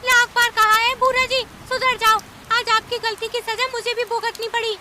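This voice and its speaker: Woman voicing a shrill, dramatic cartoon animal female. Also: flat delivery, moderate pace, heavy background noise. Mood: distressed, scolding. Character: stern female queen ant and scolding animal women. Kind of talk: Hindi cartoon voice acting.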